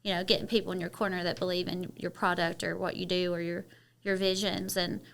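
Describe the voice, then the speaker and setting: A woman's voice: on the low side, flat delivery, a little fast, vocal fry, a bit bright. A woman from Kentucky with a Southern twang, chatting openly as a podcast guest and using many "you know" fillers. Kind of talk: casual interview chat.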